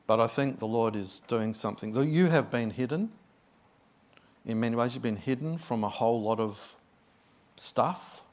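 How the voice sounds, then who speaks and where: A man's voice: medium pitch, normal speed, darkish tone. A middle-aged man with an Australian accent takes the pulpit as a visiting pastor. His sermon is chatty and effusive, full of asides and personal stories, with his voice sitting in an ordinary middle register. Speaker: guest pastor.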